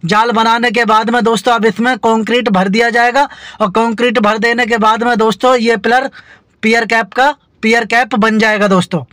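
A high-pitched man from India gives a chatty, repetitive tour of roadwork, talking to his viewers like friends. His voice is dry and close on a phone camera outdoors as he rides along. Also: level tone, moderate pace, sharp tone, no room sound. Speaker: vlogger. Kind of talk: narrating a construction tour from a moving vehicle.

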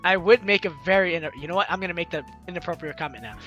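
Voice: mid-range voice, very fast, fairly bright tone, dull audio. A let's play host reading visual novel lines aloud and reacting in an exclaiming, giggly way. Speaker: gaming video host. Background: game music.

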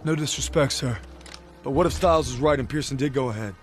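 Quick, urgent, worried soldier played by a man. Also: medium pitch, faint music behind, close to an everyday voice. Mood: concerned. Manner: urgent, earnest. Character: worried, questioning American soldier in wartime. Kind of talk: WWII video game cutscene acting.

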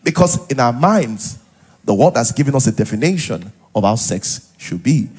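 A middle-aged man with a Nigerian accent and a husky voice, preaching as a pastor to a live congregation in an animated, conversational way, full of interjections and rhetorical questions. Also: medium pitch, normal speed. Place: large church auditorium, on stage. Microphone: headset.

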